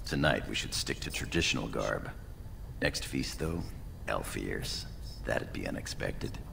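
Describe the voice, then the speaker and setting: An actor playing a loyal, wry dwarven retainer in a video game, with dry, deferential joking and a gravelly voice. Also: male voice, very deep voice, lively intonation, unhurried, pushed and bright, a little hiss or hum, full character voice. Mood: dry, teasing. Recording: music playing behind the voice.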